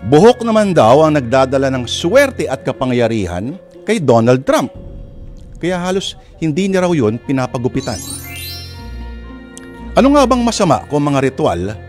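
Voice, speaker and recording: A man's voice: on the high side, very animated, normal speed, pauses often, a little mellow. A man with a Filipino accent hosting and narrating a scripted radio drama, addressing listeners as a dramatic storyteller. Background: music.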